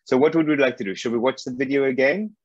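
A man's voice: mid-range voice, quick pace, no room sound. A man in his thirties from South Africa giving an informal online talk, hesitant with wry humor. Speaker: guest lecturer. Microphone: wireless earbuds on a video call. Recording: muffled video call audio.

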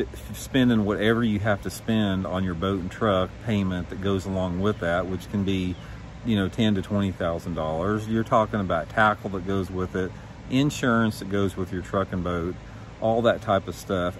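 Male, 50s, lowish voice, frank vlog delivery, outdoor recording with faint background noise. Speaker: professional angler and vlog host. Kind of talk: candid opinion vlog, talking to camera. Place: suburban backyard, outdoors.

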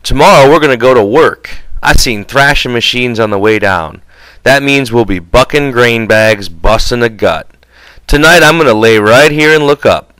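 Audiobook role: short-tempered male farm worker played by a man, commanding, brusque, clear delivery. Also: medium pitch, expressive, normal speed, fairly bright tone, dry recording, close to an everyday voice, rough recording. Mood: curt, bossy.

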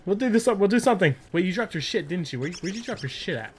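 Excitable high-pitched sweary male gamer. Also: fast talker, a little hiss or hum. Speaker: gamer playing in co-op. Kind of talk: casual gameplay chat with a friend.

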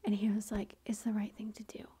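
A woman's voice: mid-range voice, completely flat delivery, fairly quick. A woman whispering through a long personal story, with many fillers and quoted lines of dialogue. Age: adult with a son. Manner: whispering, soft and breathy. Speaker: vlogger.

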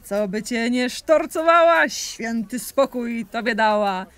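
Female audio play performer: lightly high voice, unhurried sing-song verse, playful and deliberate. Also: expressive, a bit bright. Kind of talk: theatrical rhymed verse recitation.

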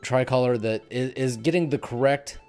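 A man's voice: mid-range voice, moderate pace, darkish tone. A middle-aged man co-hosting a casual gaming discussion, speaking into a headset at home. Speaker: podcast host. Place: small room at home, on a group call. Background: music.

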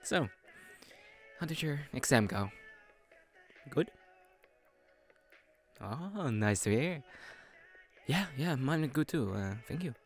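An obsessive young yandere man, played by a male voice actor in an intimate audio roleplay. He speaks slowly in a soft, coaxing, often breathy mid-pitched voice, sweet on the surface and psychotic underneath, with music behind him. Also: sing-song. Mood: friendly, teasing. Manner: slow, chuckling, teasing, coaxing.

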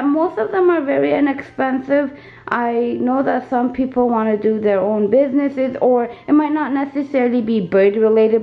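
A young woman with a raspy flu voice, chatting casually as she shows off her home craft studio. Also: on the high side, dull audio. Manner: chatty, self-deprecating, filler-heavy. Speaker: small shop owner giving a studio tour. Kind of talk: casual video tour.

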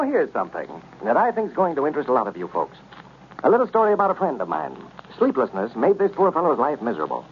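An American man delivering a sponsor message as a 1930s radio announcer. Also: a little high. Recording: muffled, noisy old radio recording.

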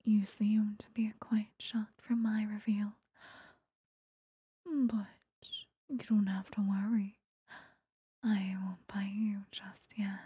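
Woman acting as possessive female vampire, whispered ASMR roleplay, extremely breathy, intimate, seductive, dry close-mic sound. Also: medium pitch, little pitch movement, warm tone, no room sound. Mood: teasing, possessive. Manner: slow, intimate, lingering delivery. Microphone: close microphone.

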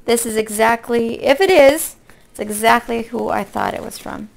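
A woman with a hoarse voice from being sick, chatting casually in a folksy, rambling way as a craft vlogger. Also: a little high, normal speed, poor audio. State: hoarse from illness with a cough. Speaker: craft vlog host. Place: indoor room at a table.

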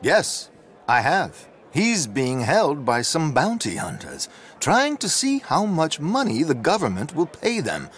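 A man playing a dapper, flamboyant gentleman rogue in a video game, with wry, flowery politeness. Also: a little high, huge pitch swings, measured pace, heavily acted. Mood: concerned, informative. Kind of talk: dramatic video game cutscene acting.

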